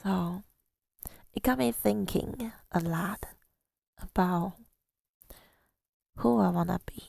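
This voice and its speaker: Very slow, creaky, soft-spoken ASMR woman. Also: on the low side, no echo. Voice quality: soft, breathy, often near-whispered. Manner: hesitant, halting, reflective delivery. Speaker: ASMR creator. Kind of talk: ASMR personal storytelling.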